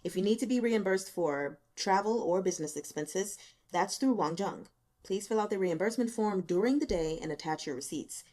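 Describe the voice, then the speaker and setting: A tired male office boss from an audiobook, played by a woman narrator. He talks in a casual, offhand way, brisk and blunt, with a wry teasing edge. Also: mid-range voice, expressive, darkish tone, close to an everyday voice. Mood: offhand, businesslike.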